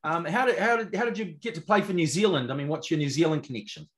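A man with a high voice co-hosts a sports podcast. He asks a guest probing, curious questions about his background over a video call. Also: fast talker, a bit bright, slightly rough. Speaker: podcast co-host. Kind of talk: casual interview on a video call.

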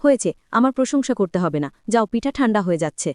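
A woman's voice: fairly high pitch, animated, fast, a little mellow, no room sound, studio quality, natural voice. A woman voicing a caring, hospitable village homemaker and mother in a cartoon. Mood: fond, brisk. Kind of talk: Bengali moral cartoon voice acting.

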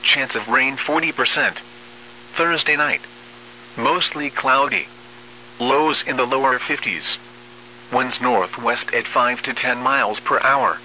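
Very bright synthetic male weather radio announcer. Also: mid-range voice, slight background noise, poor audio. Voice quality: synthetic text-to-speech voice. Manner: formal, measured, impersonal. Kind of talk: automated weather bulletin reading.

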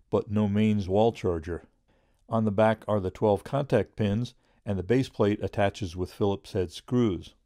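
A middle-aged man reading a scripted product review in a measured, informative way. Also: on the low side, mellow, no room sound, clean studio sound.

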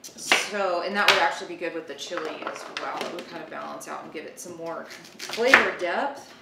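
A woman's voice: lowish voice, moderate pace, creaky, very noisy. A woman in her thirties hosting a casual cooking demonstration, talking informally and thinking aloud as she works. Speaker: cooking show host. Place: home kitchen, indoors. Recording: roomy, distant mic, loud kitchen clatter. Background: chopping, clicks, pans clattering.

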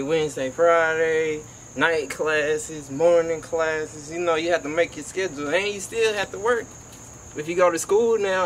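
A man's voice: on the high side, a little hiss or hum. A young man from Georgia, talking casually and emphatically in slang, like a motivational vlogger recording outdoors. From Georgia, United States. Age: young adult. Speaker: HVAC technician and vlogger. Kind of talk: casual motivational vlog talk. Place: outdoors by a house.

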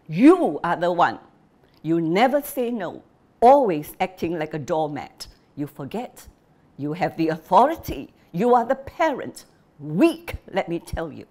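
A woman voicing a bitter, furious old father who shouts and scolds his family. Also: medium pitch, very animated, a little slow, voice pushed up. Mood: scolding. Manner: shouting, scolding, jabbing repetition.

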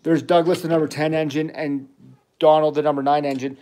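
Man, middle-aged, dad host, slightly high voice, enthusiastic rambling toy chat. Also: little pitch movement, a little fast, dark tone, slight background noise. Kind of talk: casual livestream chat with his child.

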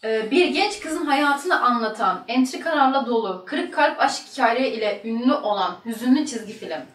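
Woman, high voice, reading quiz questions, slightly distant mic. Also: expressive, moderate pace, a bit bright. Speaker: quiz question reader on a comedy talk show. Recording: roomy echo, distant mic.

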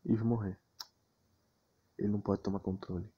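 A man's voice: on the low side, flat delivery, normal speed. A Brazilian man giving casual gameplay commentary in short, slangy remarks as he plays. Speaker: gamer commentating own match. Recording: muffled lo-fi mic with clear noise.

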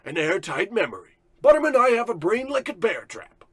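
Pompous male business magnate for a radio comedy: high, husky, wildly swooping, self-important bluster. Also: big pitch swings, brisk, dry recording, heavily acted. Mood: bragging. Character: pompous boastful male industrial tycoon, bullying boss. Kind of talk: parody of old radio drama acting.

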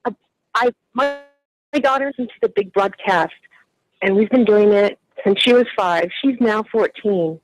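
A woman calling in to a radio show, gushing and excited. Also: medium pitch, normal speed, rough recording. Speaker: caller. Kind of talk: phone-in chat.

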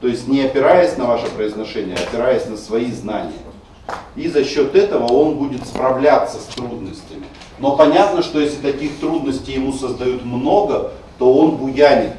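A man's voice: mid-range voice, normal speed, warm tone. Man lecturing in an echoey room. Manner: explanatory, demonstrating pronunciation aloud. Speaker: teacher. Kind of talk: screencast lecture on Russian stress. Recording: very echoey room, distant mic, faint noise.

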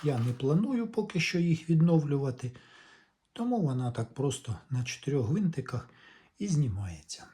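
A man's voice: fairly high pitch, big pitch swings, moderate pace, warm tone, a little hiss or hum. A man gives a casual, hands-on repair walkthrough, thinking aloud as he explains each step without hurry. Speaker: hobbyist electronics repairer presenting a video.

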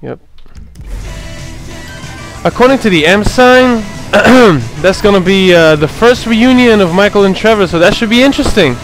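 A man hosting a let's play, chatting casually over gameplay, hoarse from a sore throat, laughing often. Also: high voice, lively intonation, moderate pace, soft background music.

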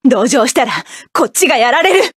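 A fierce, high-voiced mech pilot. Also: female voice, expressive, fairly quick, slightly rough, dry recording, clean studio sound. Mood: grim. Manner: commanding, defiant battle shouts. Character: fierce proud female mech pilot, young adult. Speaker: mecha pilot character. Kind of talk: video game battle voice lines.

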